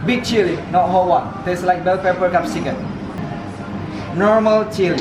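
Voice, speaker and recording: A man leads a cooking class, giving short, patient instructions in simple English. His voice sits high for a man. The room echoes, with music and crowd chatter playing behind him. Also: normal speed. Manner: simple, clipped English with broken grammar, patient.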